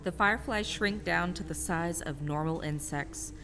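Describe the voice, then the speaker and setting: A woman's voice: fairly low pitch, normal speed. A woman narrator reading scripted storytelling narration for a radio drama. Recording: noticeable background noise.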